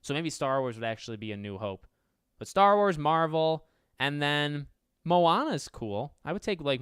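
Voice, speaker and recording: A man with a raspy but clear, resonant voice hosts a loose livestream Q&A. He thinks out loud, corrects himself mid-sentence, and answers viewers one after another. The sound is dry and close, with no room around it. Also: mid-range voice, dry recording. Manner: rambling, self-correcting, answers chat questions aloud. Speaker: livestream host reading viewer questions. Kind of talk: casual livestream Q&A chat.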